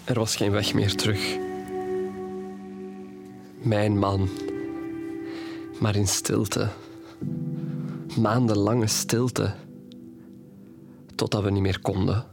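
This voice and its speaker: Low-voiced young man confiding forbidden love. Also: little pitch movement, very slow, gravelly, long pauses, full character voice. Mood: tense, hushed. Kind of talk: audio drama monologue, intimate first-person storytelling. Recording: music playing behind the voice.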